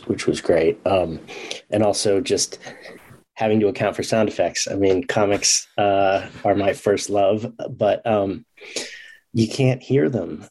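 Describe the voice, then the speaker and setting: Low-voiced man, casual writer interview. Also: a little mellow, breathy edge, low-quality recording. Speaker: comic book writer, podcast guest.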